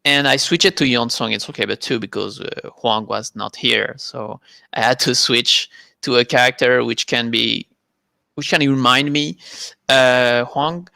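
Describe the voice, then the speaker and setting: Man with a bright French accent. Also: mid-range voice, vocal fry, no room sound. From France. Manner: halting, many fillers and repeated words. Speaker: guest, competitive fighting game player. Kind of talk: casual interview over a live video call.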